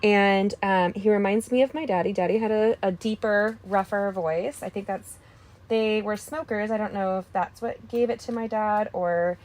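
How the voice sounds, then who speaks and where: A woman's voice: medium pitch, steady tone, normal speed, a little mellow. A woman with a slightly scratchy voice hosting a card-making tutorial, chatting casually with playful asides and dropping into whispers. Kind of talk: casual craft tutorial chat.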